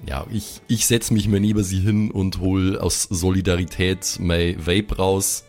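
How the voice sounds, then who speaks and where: A man's voice: low voice, flat delivery, measured pace, darkish tone, soft background music. Hesitant man narrating a role-play. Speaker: tabletop role-player in a podcast. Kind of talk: improvised, casual narration of own character.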